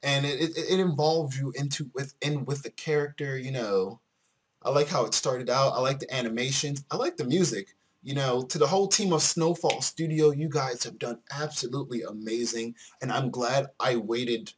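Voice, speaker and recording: Husky-voiced man doing game commentary. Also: medium pitch. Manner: rambling, repeats himself. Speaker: video game streamer. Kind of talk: casual let's play commentary.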